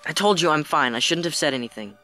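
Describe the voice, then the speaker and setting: Woman playing a bright-voiced, troubled schoolboy. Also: low voice, lively intonation, fast, soft background music. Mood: troubled, defensive. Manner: sullen, defensive. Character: troubled, defensive, intelligent schoolboy. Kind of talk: audio drama acting.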